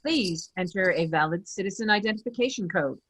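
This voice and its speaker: A woman's voice: mid-range voice, expressive, brisk, breathy edge. A woman voicing an impersonal, procedural computer security system in a screenplay table read. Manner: formal, procedural.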